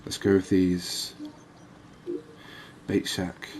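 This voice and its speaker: Slow, deep-voiced man murmuring game commentary. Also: monotone, darkish tone, slight background noise. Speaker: gaming video host. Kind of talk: ASMR gameplay commentary.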